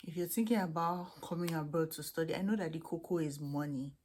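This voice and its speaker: A woman's voice: low-pitched, a little fast, a little mellow, slight background noise. A young woman with a Nigerian accent chatting candidly as she answers viewers' questions about student life and faith. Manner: chatty, candid, with frequent restarts. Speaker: international student vlogger. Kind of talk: casual chat answering questions to camera.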